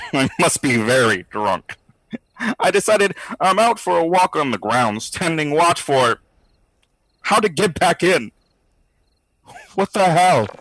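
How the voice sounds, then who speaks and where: An actor playing a brash, sardonic skeptic who talks in slangy, theatrical quips in an audio drama diary. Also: male voice, fairly high pitch, very animated, slow pace, pauses often, fairly bright tone, dry recording, full character voice, rough recording. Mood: drunk, rambling. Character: brash wisecracking skeptical man, boozy ghost-study participant.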